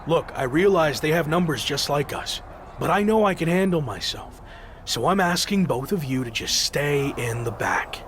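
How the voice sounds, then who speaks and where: A man's voice: a little high, animated, moderate pace, a bit bright, a little hiss or hum. A man playing a proud, headstrong young champion wrestler, insistent and defiant, in a video game cutscene. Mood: determined.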